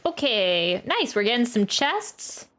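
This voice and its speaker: Higher-voiced woman chatting through a game stream. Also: big pitch swings, slow, a bit bright, slight background noise. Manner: chatty, thinks aloud while playing. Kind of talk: casual live gameplay commentary. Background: video game music.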